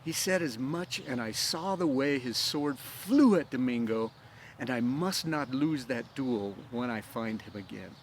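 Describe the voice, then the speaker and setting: A man's voice: mid-range voice, lively intonation, a little slow, slight background noise, poor audio. A man voicing an earnest, driven young swordsman in a storybook reading, eager and rambling. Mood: solemn, determined. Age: young adult. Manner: eager, rambling, counting aloud. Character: earnest, driven young swordsman bent on avenging his father.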